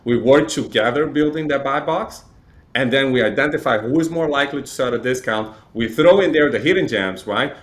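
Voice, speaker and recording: A man from Chile who grew up speaking talks as a guest in an interview. His pitch sits in the middle for a man, and he explains things conversationally, with frequent tag questions. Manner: explanatory, uses frequent "right?" tags. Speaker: interview guest, real estate data provider.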